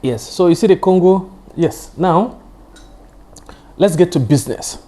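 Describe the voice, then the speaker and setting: A man's voice: high voice, animated, a little slow, warm tone. A man, Yoruba-speaking, hosting his own show and giving chatty, digressive football analysis. He repeats his points for emphasis and leans on "you know.". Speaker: football pundit and show host. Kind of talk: casual solo football analysis.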